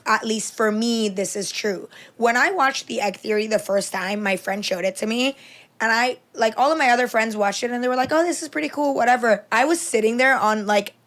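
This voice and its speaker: A young adult woman hosting a podcast, talking in an earnest, reflective way, on a studio boom mic in a small room. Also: a little fast, fairly bright tone. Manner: earnest, reflective, filler-heavy. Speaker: podcast host. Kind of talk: casual conversation. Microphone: studio mic on boom arm, headphones.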